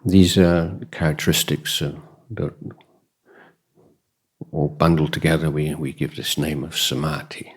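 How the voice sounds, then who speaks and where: A man who teaches meditation as a Buddhist monk, speaking in a measured, deliberate way with long pauses in mid-phrase and a husky voice. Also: very deep voice, steady tone. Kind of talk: guided meditation and dhamma talk.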